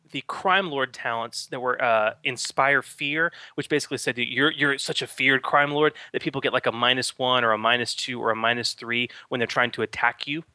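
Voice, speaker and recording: Enthusiastic male game master, bright tone, explanatory, dry close mic. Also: medium pitch, no echo. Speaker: game master and podcast host. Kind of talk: casual tabletop game podcast chat.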